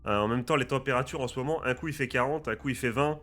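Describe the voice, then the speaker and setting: A bright, forceful-sounding man with a slight head cold, talking casually and eagerly to his viewers. He keeps a chatty, lively tone as he lays out the rules, while quiet music plays in the background. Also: mid-range voice, quick pace. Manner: lively, addresses viewers as friends and guys. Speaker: gaming YouTuber and streamer.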